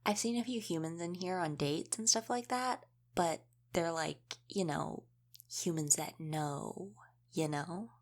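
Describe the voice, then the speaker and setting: A woman's voice: lowish voice, measured pace. A woman playing an easygoing young female wolf shifter in a casual audio roleplay, chatting and explaining things informally. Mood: relaxed explaining.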